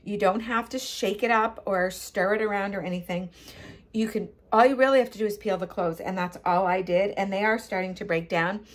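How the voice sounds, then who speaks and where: A woman's voice: mid-range voice, fairly quick. An older woman hosting a home cooking channel, explaining a kitchen how-to in a casual, chatty way, filmed on a phone in her kitchen. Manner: chatty, gestures with hands, frequent ums. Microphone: phone camera at close range.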